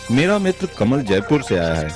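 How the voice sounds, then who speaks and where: A man playing a kind, honest moneylender in a moral-story cartoon, speaking in a gentle, earnest way. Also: mid-range voice, big pitch swings, normal speed, over music, natural voice. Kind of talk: animated moral-story dubbing.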